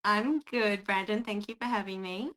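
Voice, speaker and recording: An Australian woman speaking as a podcast guest, recounting her life in a loose, conversational way. She often hesitates and fills gaps with ums, at an everyday mid pitch. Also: moderate pace, sharp tone, low-quality recording. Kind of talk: personal storytelling.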